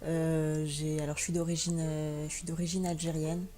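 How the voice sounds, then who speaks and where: A woman's voice: low-pitched, level tone, normal speed, warm tone, soft background music. A young woman from France answering interview questions as a guest, hesitant, with many fillers and restarts. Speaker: guest blogger and teacher.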